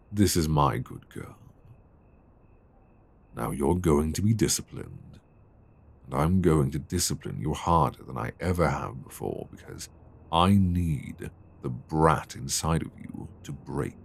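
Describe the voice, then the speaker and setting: A British man playing a stern, possessive, dominant lover in an intimate ASMR roleplay, speaking deliberately and commandingly. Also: extremely low pitch, creaky, husky, full character voice. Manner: slow, deliberate, commanding with soft endearments.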